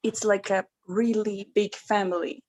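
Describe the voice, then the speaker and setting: A woman in her thirties whose first language is Spanish, speaking English with an earnest, effusive warmth as a livestream guest. Her voice sits in a middle register, and she talks openly and eagerly, often breaking into laughter. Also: medium pitch, fairly even intonation, measured pace. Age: 30s. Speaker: guest, fellow YouTuber. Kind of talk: casual livestream chat.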